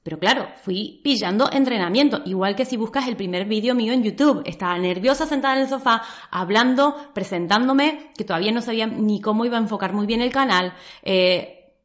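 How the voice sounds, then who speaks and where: Argentine woman coaching with animated advice. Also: fairly high pitch, brisk. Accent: Córdoba Argentine Spanish with some Spain Spanish words. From Córdoba, Argentina. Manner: animated, direct, encouraging. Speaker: social media coach. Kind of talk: direct-to-camera advice vlog.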